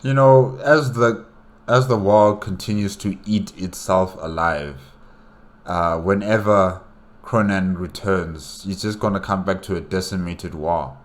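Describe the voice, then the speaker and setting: Deep-voiced man, casual reaction commentary. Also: a little slow. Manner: conversational, repeats phrases, speaks to the story's characters. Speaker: reaction host.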